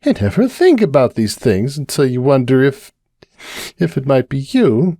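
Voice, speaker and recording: A man voicing a tipsy, anxious young mare pony, high and wavering, on a dry close recording. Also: big pitch swings, moderate pace, warm tone, dry recording. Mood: drunk, anxious.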